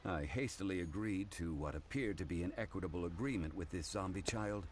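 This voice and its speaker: An actor playing a composed, learned gentleman in a video game, speaking formally and with measured deliberation. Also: low-pitched, over music, natural voice. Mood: apologetic.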